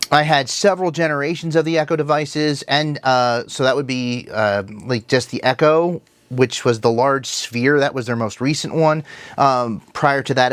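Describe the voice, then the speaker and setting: A man's voice: medium pitch, a bit bright, clear, resonant tone, no echo. A man giving a casual, conversational product review to viewers, explaining things plainly as he goes. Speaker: tech reviewer, video host.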